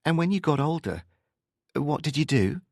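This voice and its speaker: A man voicing a curious, persistent woman who probes gently in a narrated novel. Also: mid-range voice, sing-song, measured pace, no echo, clean studio sound, voice pushed up. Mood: probing, tentative. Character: curious, persistent young woman, probing and smoking.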